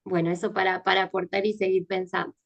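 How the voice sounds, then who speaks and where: A woman's voice: medium pitch, level tone, fast talker. A woman taking part in a seminar who closes her contribution in a reflective tone.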